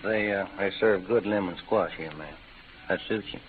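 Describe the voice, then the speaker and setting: A man's voice: low-pitched, moderate pace, lightly acted. A man playing a laconic, polite gunman and card player with a folksy Western American drawl in an old radio western. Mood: gentle, courteous. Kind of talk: 1940s-50s radio western acting. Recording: tinny old radio recording, faint noise.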